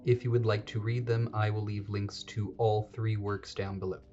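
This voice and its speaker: A man's voice: on the low side, little pitch movement, moderate pace, mellow, dull audio, soft background music. A man hosting an educational podcast, talking through history in a chatty, digressive way and correcting himself as he goes. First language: English. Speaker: podcast host. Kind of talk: casual educational monologue.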